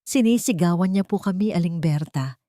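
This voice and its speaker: A frightened young Filipino boy pleads haltingly in an audio drama, acted out by a woman narrator. He is tearful and timid, and the sound is very dry. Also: lowish voice, dark tone, dry recording, close to an everyday voice. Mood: fearful, halting.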